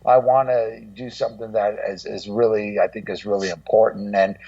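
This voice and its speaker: A man chatting casually in an interview, rambling and blunt, often halting and repeating words. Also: fairly low pitch, little pitch movement, dark tone, no echo. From Los Angeles, United States. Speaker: guest co-host, documentary maker. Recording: dull, muffled, slightly distant sound.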